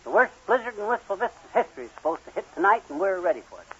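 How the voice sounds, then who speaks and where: Fast, high-voiced bustling husband. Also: male voice, very animated, slight background noise. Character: bustling, self-important husband preparing for a blizzard. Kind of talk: old-time radio comedy acting. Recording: lo-fi vintage radio recording.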